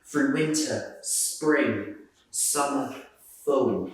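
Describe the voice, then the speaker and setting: A man reading a rhyming children's picture book aloud in a measured, sing-song way. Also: medium pitch, slight background noise. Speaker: storybook reader. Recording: roomy echo, distant microphone.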